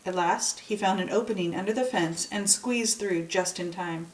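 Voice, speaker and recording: A woman's voice: medium pitch, normal speed. Woman reading a folktale in an echoey room. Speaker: storyteller reading aloud. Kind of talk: expressive children's story narration. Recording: echoey room, distant microphone.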